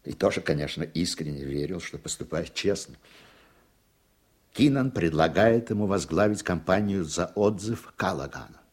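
An actor playing a weary, thoughtful older judge in a radio play, speaking in a reflective, deliberate way. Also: male voice, low-pitched, lively intonation, a little mellow, slightly rough. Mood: troubled, reflective. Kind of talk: Russian radio play acting.

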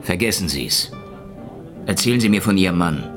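An actor playing a cynical, tough Soviet secret police detective in a hardboiled radio crime drama. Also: male voice, deep voice, animated, normal speed. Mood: dry flirtation. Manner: sardonic, terse, hardboiled. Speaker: actor, lead and narrator. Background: music.

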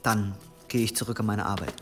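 A man's voice: lowish voice, flat delivery, a little fast, music in the background, close to an everyday voice. An actor playing an earnest monk who serves his abbot, respectful and a little hesitant, in an audio drama. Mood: deferential. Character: deferential, earnest young monk serving the abbot. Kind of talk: German audio drama acting.

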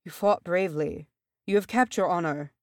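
Woman as a quiet, solemn warrior king-to-be. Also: fairly low pitch, no room sound, studio quality, close to an everyday voice. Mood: gentle, sorrowful. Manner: gentle, slow, grave. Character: gentle, solemn warrior man, heir to kingship.

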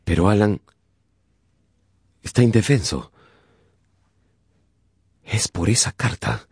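Deep-voiced male radio drama narrator. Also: expressive, a little mellow, breathy edge, no echo, clean studio sound. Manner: measured, suspenseful, deliberate phrasing.

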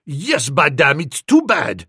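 A blustering French hotel manager for a comic storybook. He shouts in broken English with a French accent, high, wildly animated and very dry. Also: unhurried, slightly rough, no echo, full character voice. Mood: outraged. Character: whiskered, excitable foreign hotel manager, loud and outraged.

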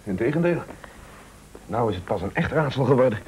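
Een acteur in een Nederlands hoorspel speelt een milde, eerlijke echtgenoot die aarzelend en sceptisch praat. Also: male voice, mid-range voice, expressive, fairly quick, a little mellow, a little hiss or hum, close to an everyday voice, poor audio. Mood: puzzled. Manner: doubtful, hesitant. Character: hesitant, sceptical, mild-mannered honest husband. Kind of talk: Dutch radio play acting.

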